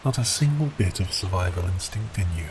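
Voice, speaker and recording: A man's voice: low-pitched, expressive, brisk, over music. A man playing a giant cursed sea beast prince in an ASMR roleplay, theatrical, melancholy and exasperated. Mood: exasperated, bemused.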